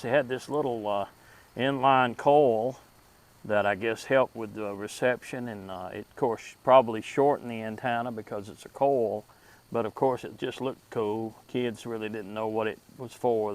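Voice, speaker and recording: A middle-aged man giving a casual show-and-tell to camera in a halting, deliberate way. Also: on the low side, poor audio. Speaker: host of a vintage gadget video series. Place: outdoors at a table in a wooded yard. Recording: outdoor ambient noise behind voice.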